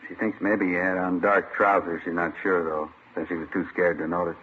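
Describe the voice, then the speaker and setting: A man's voice: deep voice, level tone, brisk, natural voice. An actor playing a plain-spoken young American ex-soldier husband in an old radio drama, obliging and folksy as he relays information. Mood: matter-of-fact. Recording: muffled vintage radio with faint hiss.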